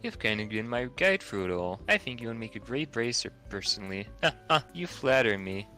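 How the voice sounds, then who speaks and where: A man's voice: mid-range voice, sing-song, a little fast, sharp tone, faint music behind. A man voicing friendly, earnest teenage schoolgirls in an amateur reading of fantasy game dialogue. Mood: pleased, earnest.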